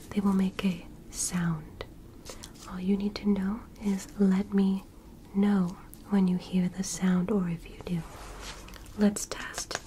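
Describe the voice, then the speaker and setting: A polite alien doctor acted by a woman whispers in a soft, breathy, medium-pitched voice. She takes her time, examining her earthling patient with gentle, clinical curiosity in an ASMR roleplay. Noticeable noise and clicking sit behind the whisper. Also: close to an everyday voice. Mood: calm, clinical. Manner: gentle, clinical, formally praising.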